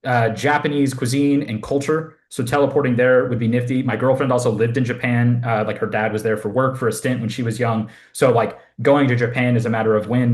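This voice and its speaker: Young man from Southern California, podcast guest; easygoing, rambling delivery full of fillers. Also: medium pitch. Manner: rambling, self-deprecating, many fillers. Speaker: podcast guest, fitness coach. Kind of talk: casual interview chat.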